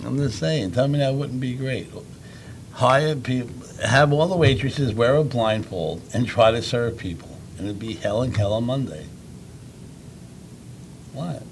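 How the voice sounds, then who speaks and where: Loud, mocking man on a live show. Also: medium pitch, a little slow, vocal fry, a little hiss or hum, low-quality recording. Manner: loud, mocking, crude joking. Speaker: co-host of a live web show. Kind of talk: casual crude banter on a live stream.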